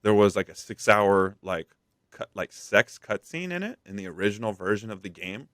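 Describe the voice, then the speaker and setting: Low-voiced man chatting on a gaming podcast. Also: sing-song, normal speed, a bit bright, no echo, studio quality. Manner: rambling, slangy, frequent "like" and "dude". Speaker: podcast co-host. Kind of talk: casual gaming news chat.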